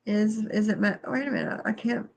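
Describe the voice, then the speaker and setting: A woman's voice: medium pitch, fairly quick, creaky, slight background noise. A middle-aged woman with a stuffy nose, chatting casually on a home livestream and greeting viewers by name. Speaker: livestream host. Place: bedroom at home, then kitchen.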